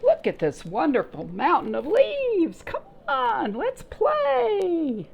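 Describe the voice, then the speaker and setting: A woman voicing a carefree, playful grasshopper in a children's picture book, with a drawn-out, coaxing, sing-song delivery. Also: very high voice, wildly swooping pitch, vocal fry, lo-fi sound, voice pushed up, heavily acted. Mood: gleeful. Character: carefree, playful grasshopper who loves music and play.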